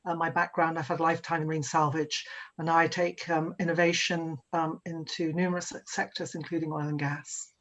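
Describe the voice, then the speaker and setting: A middle-aged woman giving an online presentation, halting and full of fillers and restarts. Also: low voice, little pitch movement, a bit bright. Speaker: webinar presenter. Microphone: video call.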